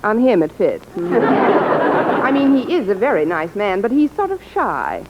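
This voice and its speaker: An actress playing a wry, lovestruck American schoolteacher in an old radio sitcom, delivering coy, scheming wisecracks with dry timing. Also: female voice, mid-range voice, huge pitch swings, unhurried, a loud crowd. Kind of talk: 1940s-50s radio sitcom acting. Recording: dull, noisy old radio, audience behind.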